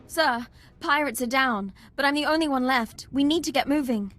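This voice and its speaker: An earnest young female ensign in a sci-fi game. Her voice sits fairly high, and she speaks politely, with a dutiful, plain-spoken manner. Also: normal speed, fairly bright tone, soft background music. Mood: tense, urgent. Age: young adult. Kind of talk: video game voice acting.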